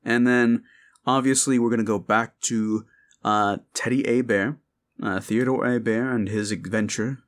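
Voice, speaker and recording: A man's voice: medium pitch, steady tone, a little slow. A man, a voice actor and channel host, chatting casually and earnestly to his listeners in an unscripted ramble.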